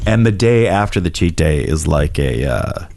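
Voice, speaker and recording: A man's voice: very deep voice, sing-song, normal speed, creaky, a little mellow. A man talking as a podcast guest in casual chat, jokey and rambling with restarts.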